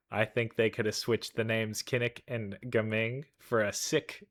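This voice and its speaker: A person giving casual, slangy, excited reaction commentary on a video game trailer, prone to exclaiming. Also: medium pitch, slow. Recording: music playing behind the voice. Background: video game trailer music.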